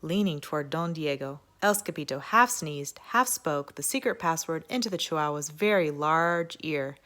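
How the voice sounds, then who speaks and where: A woman reading a children's storybook aloud with expressive narration. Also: deep voice, normal speed. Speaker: story reader at an elementary school.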